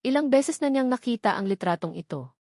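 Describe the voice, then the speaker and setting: A woman's voice: a little high, lively intonation, brisk, darkish tone, dry recording, clean studio sound. A woman reading a scripted story in a dramatic narrating style.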